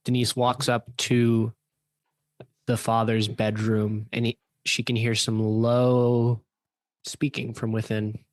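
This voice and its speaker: A man's voice: medium pitch, level tone, takes it slowly, no room sound. A man narrating an improvised radio play in the present tense. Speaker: narrator and game-style player of a radio play.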